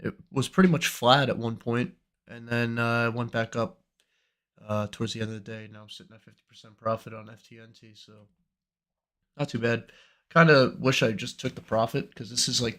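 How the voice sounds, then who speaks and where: Hoarse man rambling on a livestream. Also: medium pitch. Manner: relaxed, rambling, many fillers. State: slightly hoarse from a cold. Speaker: trading livestream host. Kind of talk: casual livestream chat.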